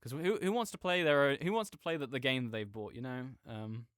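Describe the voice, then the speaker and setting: A man's voice: mid-range voice, fairly quick, a bit bright, no room sound, studio quality, natural voice. A man playing a chatty, nerdy roommate who rambles enthusiastically about a video game in a casual, digressive audio roleplay monologue. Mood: enthusiastic, opinionated.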